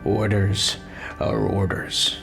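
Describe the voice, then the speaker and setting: A man's voice: on the low side, flat delivery, slow, gravelly, a bit bright, faint music behind, exaggerated voice. A man playing an angry, by-the-book military commander in a video game, confrontational and emphatic. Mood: curt, bitter. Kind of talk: dramatic game cutscene dialogue.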